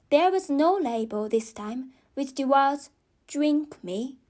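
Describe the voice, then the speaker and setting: A woman with a non-native English accent reading a children's story as an audiobook narrator. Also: sits high.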